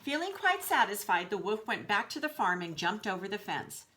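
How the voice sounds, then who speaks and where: Bright-voiced woman reading to children. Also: medium pitch, very animated, normal speed, a little hiss or hum. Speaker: school librarian reading aloud to pupils. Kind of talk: children's storybook read-aloud.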